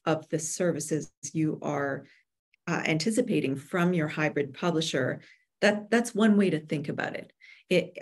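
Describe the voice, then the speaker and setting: Lower-pitched woman explaining publishing expertise. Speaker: guest expert, hybrid publisher. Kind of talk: webinar interview answers. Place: room with bookshelves at home or office, indoors. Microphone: computer video call.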